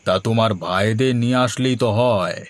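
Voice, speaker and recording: A man voicing a gentle, wise old holy hermit in a cartoon folktale. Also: fairly low pitch, normal speed, no echo, lightly acted. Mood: kindly, curious.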